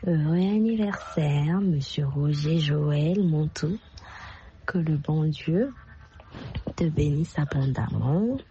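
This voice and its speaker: A woman with a hoarse voice from a cold, slowly offering warm birthday wishes in a message to the radio show. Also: low voice, lo-fi sound, a little hiss or hum. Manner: affectionate, slow well-wishing. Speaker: listener sending a birthday message.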